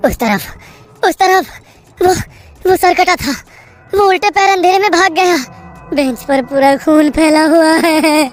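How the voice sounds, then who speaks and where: A woman voicing a frightened young male railway worker who pleads breathlessly in a high voice. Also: racing, few pauses, darkish tone, faint music behind. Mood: desperate.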